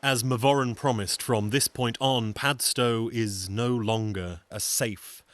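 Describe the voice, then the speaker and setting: A man's voice: mid-range voice, fairly even intonation, a little slow, fairly bright tone, dry recording. A man telling a folktale as a storyteller, in a dramatic, theatrical narrating style.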